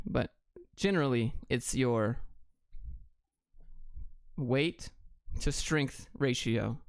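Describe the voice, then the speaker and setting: A man's voice: medium pitch, clear, resonant tone, dry recording. Blunt American man, clean dry sound. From Atlanta, Georgia, United States. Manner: blunt, clipped, offhand answers. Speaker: livestream host. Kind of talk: casual livestream chat answering viewer questions.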